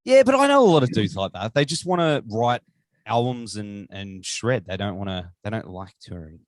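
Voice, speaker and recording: A man's voice: on the low side, big pitch swings, normal speed, no echo. Aussie guy hosting a podcast, bouncy up and down voice, dry sound, all hyped and rambly. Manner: enthusiastic, chatty, rambling questions. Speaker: podcast host. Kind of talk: casual interview chat.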